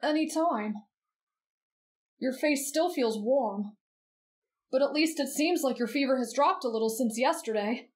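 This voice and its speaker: Female performer as gentle teenage anime boy, polite, earnest, slow pace, soft. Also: high-pitched. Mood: tender. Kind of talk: anime fan roleplay audio drama.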